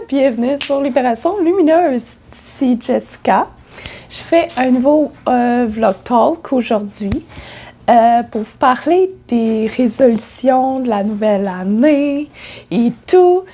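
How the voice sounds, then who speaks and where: A woman's voice: on the high side, mellow. A woman with a Quebec French accent, talking casually in a vlog as a spiritual coach, slightly congested from a cold and filling her speech with hesitations. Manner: chatty, many fillers and restarts.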